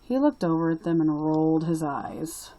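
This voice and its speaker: A woman reading a children's story aloud as a storyteller, with deliberate, expressive phrasing. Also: deep voice. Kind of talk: expressive picture-book read-aloud.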